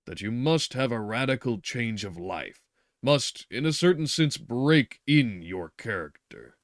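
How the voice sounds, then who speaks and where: A man's voice: mid-range voice, lively intonation, moderate pace, no echo, clean studio sound, pitched above the performer's own voice, lightly acted. A man voicing a stern middle-aged doctor who lectures insistently and stresses his words. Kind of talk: reading a novel aloud.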